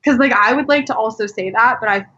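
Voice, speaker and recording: A woman's voice: on the high side, fast talker, pushed and bright, breathy edge, muffled recording. A woman from Colorado chatting casually and candidly as a podcast guest, peppering her speech with "like.". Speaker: podcast guest, adult performer. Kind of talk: casual chat.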